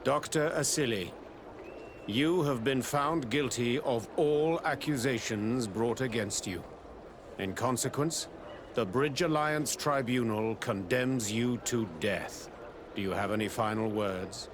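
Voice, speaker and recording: A man's voice: mid-range voice, slow, noisy background, close to an everyday voice. A man voicing a dignified middle-aged baron in a video game, formal and stately like a solemn statesman. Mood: solemn, stern. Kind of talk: dramatic video game acting.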